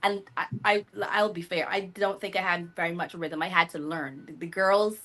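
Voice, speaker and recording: A woman born in Philadelphia and raised in Barbados, with an American accent that carries a Barbadian lilt, telling stories animatedly as an interview guest on a video call. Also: moderate pace. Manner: animated, reenacts her own reactions with a high voice. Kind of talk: casual interview storytelling. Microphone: video call mic.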